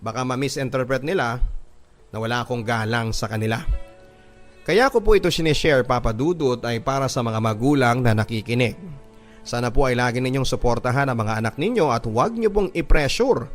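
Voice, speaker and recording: A man's voice: mid-range voice, a little fast. A man with a Filipino accent reads a listener's letter as a radio host, in an earnest, sentimental storytelling style. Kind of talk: dramatic scripted narration of a listener's letter. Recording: music under the voice. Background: background music.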